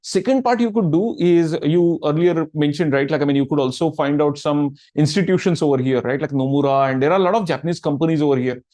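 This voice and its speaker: A man's voice: a little high, fast talker, hardly any pauses, dark tone, dry recording. A middle-aged man answering audience questions in a casual talk, filling his sentences with "right, like" and "I mean". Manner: filler-heavy, repeats "right, like". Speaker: guest speaker answering questions.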